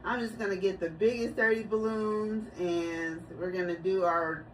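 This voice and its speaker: A woman's voice: medium pitch, fairly even intonation, normal speed. A woman in her forties talking casually about her family on her video channel, telling stories and laughing easily. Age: 40s. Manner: chatty, storytelling, laughs easily. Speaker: vlogger. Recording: distant mic, noisy room.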